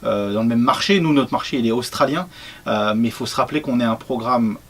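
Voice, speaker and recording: Relaxed man, lots of hesitations. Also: medium pitch, fairly quick. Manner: relaxed, hesitant with many fillers. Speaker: radio program head. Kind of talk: casual group presentation.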